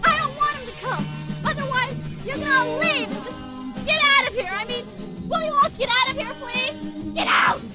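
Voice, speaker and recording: A woman playing a distraught, angry youngster in a dubbed horror film, shrill, scolding and pleading. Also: normal speed. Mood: hysterical anger. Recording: film soundtrack under loud music.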